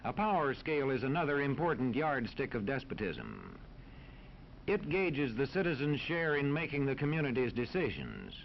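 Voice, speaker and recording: American guy doing formal 40s educational film narration, mid pitch, muffled with a bit of hiss. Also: huge pitch swings, gravelly. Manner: formal, authoritative, measured. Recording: dull 1940s film soundtrack, faint noise.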